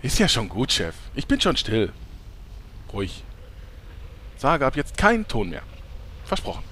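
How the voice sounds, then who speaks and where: A man's voice: medium pitch, wildly swooping pitch, a little slow, sharp tone, slight background noise, exaggerated voice. A man playing a relentlessly chatty, annoyingly cheerful sidekick in a German comedy audio drama. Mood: chirpy, pestering. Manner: chirpy, pestering, theatrical.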